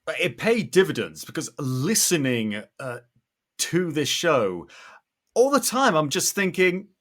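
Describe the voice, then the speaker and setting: A man hosting a podcast in an effusive, conversational way, often halting as he searches for the right words. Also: fairly high pitch, big pitch swings. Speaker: podcast host. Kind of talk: enthusiastic conversational interviewing.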